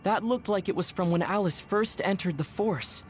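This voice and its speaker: An actor playing an earnest, thoughtful young soldier in a video game, explaining things in a measured way. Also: male voice, high-pitched, slight background noise, close to an everyday voice.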